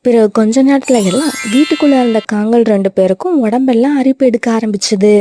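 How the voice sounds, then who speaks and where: A woman narrating a children's cartoon story in a clear, even storytelling manner. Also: a little high, fast, soft background music.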